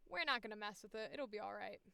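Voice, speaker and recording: A woman's voice: mid-range voice, animated, fast, gravelly, bright, forceful tone, clear, resonant tone, no room sound. A woman voice actor chatting casually on a livestream, informally thinking aloud as she works.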